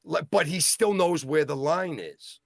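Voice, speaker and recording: A man with a Boston accent co-hosting a casual wrestling review, talking in a rambling, excitable way and often cutting himself off. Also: fairly high pitch, a little slow, creaky, no echo, clean studio sound. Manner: rambling, self-interrupting, excitable. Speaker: wrestling show co-host.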